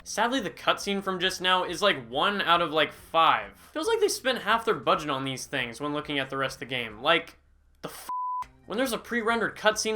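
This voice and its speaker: A man's voice: on the high side, bright, forceful tone, a little hiss or hum. A young man in his twenties doing a sarcastic, comedic scripted game review, breaking into sudden exaggerated outbursts. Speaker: gaming YouTuber.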